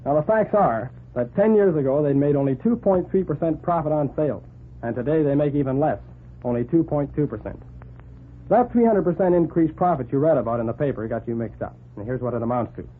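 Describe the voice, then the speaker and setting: An actor in a 1940s radio drama playing a brisk, persuasive, self-assured newspaper reporter. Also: male voice, on the high side, lively intonation. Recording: narrow, noisy 1940s radio recording.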